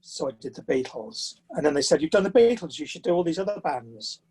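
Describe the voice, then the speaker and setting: A man's voice: on the high side, very animated, a little fast, a little mellow, slightly rough. A man of sixty from the south of England with a southern English accent, chatting and telling anecdotes as a podcast interview guest. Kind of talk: conversational interview storytelling.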